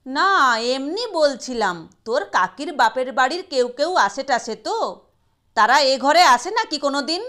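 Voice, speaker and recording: Lady reading as a worried loving mom in an audio story, high voice, coaxing, slow. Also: big pitch swings, fairly bright tone, heavily acted. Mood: flustered. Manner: coaxing, tender. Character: doting, weary, anxious working mother of a sick daughter.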